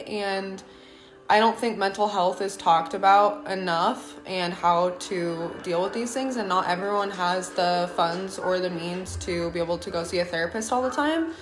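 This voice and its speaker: A young woman with a hoarse, raspy voice from a lingering cough, chatting earnestly in a casual livestream and giving advice from her home kitchen into a phone at arm's length. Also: medium pitch. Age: young adult. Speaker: livestreaming mom and team leader. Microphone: phone camera at arm's length. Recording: echoey kitchen room sound.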